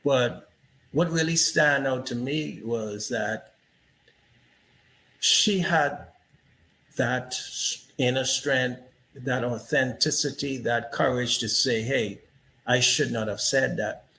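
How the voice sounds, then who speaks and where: Bright-voiced man, slow reflective host. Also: mid-range voice, vocal fry, slight background noise, rough recording. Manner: reflective, storytelling with asides like "you know". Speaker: interview host. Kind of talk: casual interview conversation.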